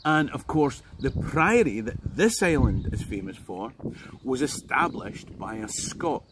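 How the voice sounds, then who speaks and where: Scottish male guide, conversational and wry, loud background noise from outdoors. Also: mid-range voice, big pitch swings, a little slow. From Scotland. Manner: jokey and conversational, with asides to the viewer. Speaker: history tour guide. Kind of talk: informal history talk to camera. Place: outdoors on a tidal island.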